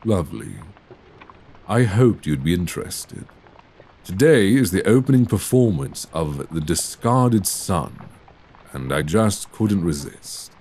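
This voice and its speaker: Deep, creaky Victorian gentleman vampire, acted by a man. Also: very animated, slow, slight background noise, exaggerated voice. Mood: charming, inviting. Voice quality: low, creaky voice. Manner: genteel, formal, solicitous phrasing with endearments. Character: suave, courteous Victorian gentleman vampire, tenderly charming. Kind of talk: ASMR roleplay, scripted intimate monologue. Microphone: close binaural microphone.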